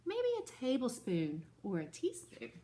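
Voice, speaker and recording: A woman's voice: fairly high pitch, wildly swooping pitch, measured pace, mellow, slight background noise. A woman teacher reading a picture book aloud to her second-grade class and stopping to explain it patiently.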